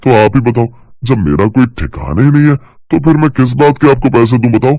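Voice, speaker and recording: A man plays a young bicycle samosa seller in a cartoon tale. His voice is pushed higher and recorded very dry, with cheeky, clever, persuasive street patter. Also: animated, fairly quick, warm tone, no echo, natural voice. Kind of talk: Hindi cartoon story character voice.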